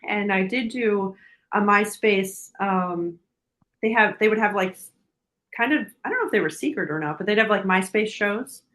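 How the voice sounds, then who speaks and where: A woman's voice: medium pitch, normal speed, a bit bright. A woman in her forties with a mid-range voice, talking as a guest in a casual podcast chat. She is giggly and tends to laugh through her own sentences. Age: 40s. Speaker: podcast guest, dancer and influencer.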